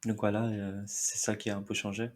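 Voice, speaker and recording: Guest: thirties, India-born man, Marathi native, lowish voice, hesitant and laughing easily. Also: completely flat delivery, fairly quick. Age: 30s. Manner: hesitant, many fillers, frequent short laughs. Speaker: podcast guest. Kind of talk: casual interview chat.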